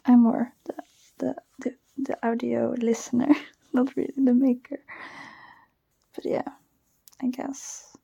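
A woman's voice: a little high, level tone, slow pace, creaky, pauses often, breathy edge, no room sound, highs cut off. Shy giggly Dutch woman whispering. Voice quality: soft whisper. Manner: shy, giggly, nervous, hesitant with many fillers. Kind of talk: whispered personal ramble, ASMR-like. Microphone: close microphone.

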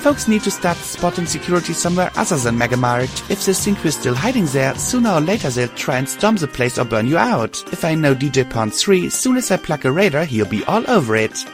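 A man voicing a shrewd, practical female pony trader with a quick, matter-of-fact manner, in an audiobook. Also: a little high, big pitch swings, music in the background, voice pushed up. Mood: advising.

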